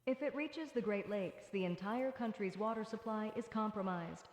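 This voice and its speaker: A woman's voice: mid-range voice, normal speed, darkish tone, lightly acted. A woman playing an alarmed, fatalistic adviser in a horror animation. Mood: dread. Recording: long hall reverb.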